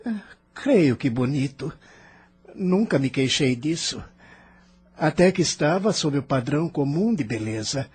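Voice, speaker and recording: A man's voice: on the high side, lively intonation, unhurried, darkish tone, breathy edge, exaggerated voice. An actor with a Brazilian accent playing an embittered, stubborn immigrant man who argues with emphatic self-pity in an audio novel. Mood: puzzled, proud. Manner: argumentative, self-pitying, emphatic. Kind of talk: dramatized audio novel dialogue.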